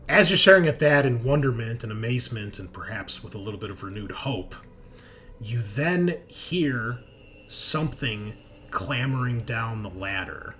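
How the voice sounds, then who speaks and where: A man narrating a tabletop horror game as game master, improvising in halting, suspenseful phrases. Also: mid-range voice, lively intonation, fairly bright tone, a little hiss or hum.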